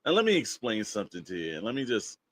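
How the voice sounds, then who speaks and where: A man's voice: on the low side, huge pitch swings, fast, a bit bright. A man co-hosting a podcast, talking in a casual, slangy, emphatic storyteller's way that rambles along. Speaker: podcast co-host.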